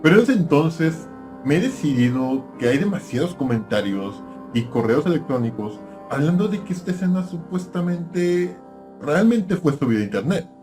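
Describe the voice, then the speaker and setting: A man's voice: on the high side, sing-song, normal speed, over music. A man playing a nostalgia-obsessed cartoon-review YouTuber, presenting scripted mystery commentary straight to his viewers. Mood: analytical. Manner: presenter-like, addressing viewers directly.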